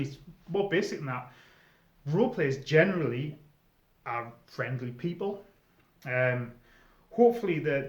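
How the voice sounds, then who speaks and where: A middle-aged man giving informal advice to viewers in a conversational, emphatic way, often pausing mid-sentence. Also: fairly high pitch. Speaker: experienced role player giving advice to newcomers. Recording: echoey room, slightly dull sound.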